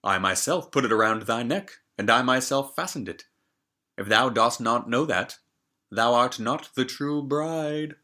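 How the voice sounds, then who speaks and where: Man playing a stern prince, bright forceful voice. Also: mid-range voice, measured pace. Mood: suspicious, stern. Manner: formal, commanding, archaic phrasing. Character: stern, questioning young prince bridegroom. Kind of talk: storybook character reading.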